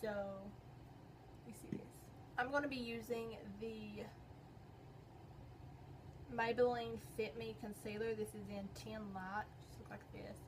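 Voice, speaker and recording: A young woman with a slightly hoarse voice, chatting her way through a makeup tutorial in a rambling, filler-heavy way. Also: fairly high pitch, little pitch movement, measured pace, long pauses. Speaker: beauty vlogger. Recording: noisy home recording.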